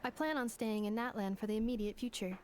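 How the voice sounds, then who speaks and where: A woman voicing a polite, earnest young machine girl in a game, speaking in a formal, measured and literal way. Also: fairly high pitch, a little mellow, slight background noise, natural voice. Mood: explanatory.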